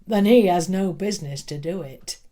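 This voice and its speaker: A woman's voice: fairly low pitch, normal speed, mellow, lightly acted. A woman voicing a stern, pompous male schoolmaster who makes clipped, commanding pronouncements in a dramatic audiobook reading. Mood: stern, disapproving.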